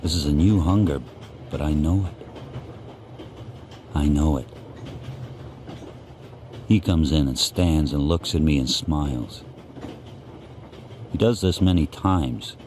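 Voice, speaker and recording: A man voicing a huge, proud dog who narrates a radio drama in a solemn, deliberate, brooding way. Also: rumbling low, a little mellow, a little hiss or hum. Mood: vengeful, brooding.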